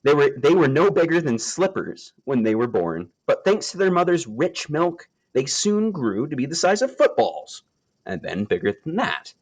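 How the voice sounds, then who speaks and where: A man reading a children's nature story aloud, with expressive storytelling delivery and deliberate phrasing. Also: fairly high pitch, husky, low-quality recording. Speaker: storybook reader.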